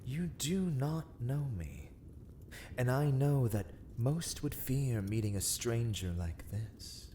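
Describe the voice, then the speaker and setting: A man playing a haughty, menacing vampire lord in a dark ASMR roleplay, speaking in formal, archaic, deliberate phrases. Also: medium pitch, lively intonation, a little hiss or hum. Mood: intrigued, haughty.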